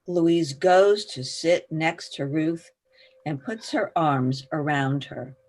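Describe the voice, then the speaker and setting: A woman's voice: deep voice, takes it slowly, a little mellow. A woman reading stage directions as scripted narration.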